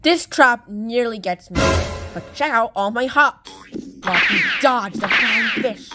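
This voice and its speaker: A woman with a slightly high voice narrates a picture book for young children. She takes it slowly, over music that is clearly heard. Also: animated. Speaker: storybook reader. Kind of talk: children's read-aloud narration.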